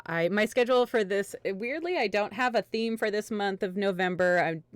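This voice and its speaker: A woman's voice: medium pitch, lively intonation, normal speed, no room sound. A woman hosting a livestream, chatting casually in a rambling, self-interrupting way. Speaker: host, variety streamer.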